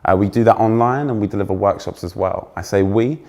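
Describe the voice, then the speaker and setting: Young English male keynote speaker, fairly posh accent, loud, energetic, teases the crowd. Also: on the low side, fairly quick, creaky. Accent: trained-out Essex, now fairly posh English. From England. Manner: energetic, joking with the audience, self-deprecating. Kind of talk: conference keynote talk. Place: stage before a live audience.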